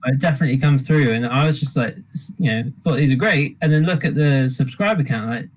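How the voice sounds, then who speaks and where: A man's voice: mid-range voice, brisk, rough recording. A man co-hosting a podcast, praising a guest's videos in a rambling, enthusiastic way. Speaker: podcast co-host. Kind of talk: casual podcast chat.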